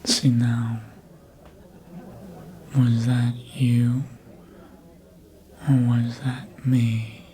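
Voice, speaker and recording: A performer voicing a sly, seductive, manipulative vampire in a breathy ASMR whisper, coaxing slowly and deliberately as if hypnotizing. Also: mid-range voice, level tone, mellow. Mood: hypnotic coaxing.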